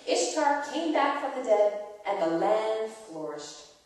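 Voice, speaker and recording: A woman telling a myth aloud in a dramatic reading, expressive and explanatory, as if to children. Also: on the high side, slow, soft background music. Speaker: storyteller. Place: church, performed live before listeners. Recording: very echoey church hall, distant microphone.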